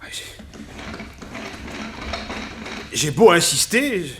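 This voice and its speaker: An actor playing a courteous, fussy, easily flustered hotel manager in a French radio detective drama. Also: male voice, a little high, very animated, normal speed, roomy sound, a little hiss or hum.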